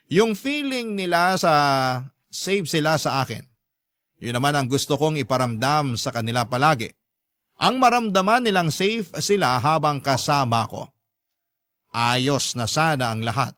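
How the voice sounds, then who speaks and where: A man's voice: a little high, fairly bright tone, no room sound. A man narrating in the first person as an earnest, devoted stepfather, sincere and confiding, in a dramatized love story. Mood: troubled.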